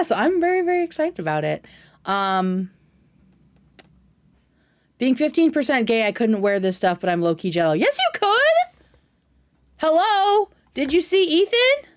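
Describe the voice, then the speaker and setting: A woman's voice: mid-range voice, huge pitch swings, normal speed, pauses often. Effusive, slangy woman chatting on livestream. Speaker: livestream host reacting to a video. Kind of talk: casual livestream chat with commentary.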